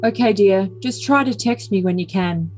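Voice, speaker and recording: A woman's voice: medium pitch, normal speed, darkish tone, close to an everyday voice, poor audio. A woman voicing an earnest, besotted young man with a solemn, stilted manner in an overly dramatic comic message reading. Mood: doting. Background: music.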